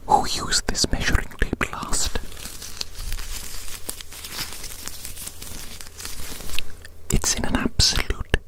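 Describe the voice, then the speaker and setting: A fussy, doting creature played by an ASMR performer whispers through an examination in an ASMR roleplay. The delivery is breathy and unvoiced, with mock-stern scolding and phrases repeated over and over. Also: moderate pace, lightly acted. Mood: fussy, grumbling.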